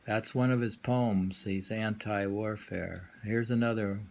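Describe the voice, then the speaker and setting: A man reading poems aloud informally and musing on them in a halting way, often repeating lines. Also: lowish voice, fairly even intonation, gravelly, a little mellow. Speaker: poetry reader and commentator. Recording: noisy amateur recording.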